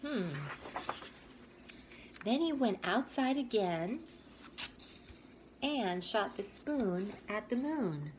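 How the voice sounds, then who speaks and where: A woman's voice: mid-range voice, slight background noise. A woman teacher reading a children's picture book aloud expressively, stepping out of the story to talk to young listeners. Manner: expressive, pausing to ask listeners questions.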